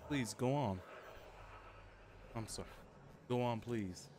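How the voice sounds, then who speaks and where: A man's voice: medium pitch, takes it slowly, warm tone, slight background noise. A man streaming a game, giving short spoken commands and casual reactions.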